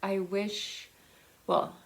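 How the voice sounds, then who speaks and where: A woman's voice: mid-range voice, level tone, slow, creaky. A woman chatting casually and enthusiastically to viewers in a rambling, conversational book vlog. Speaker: book vlogger.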